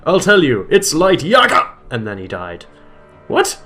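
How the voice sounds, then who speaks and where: A man giving a comedic dramatic reading of a bad fan fiction, with loud voiced quotes. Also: on the high side, a little slow, soft background music. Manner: reads deadpan, breaks into laughter, voices quotes loudly.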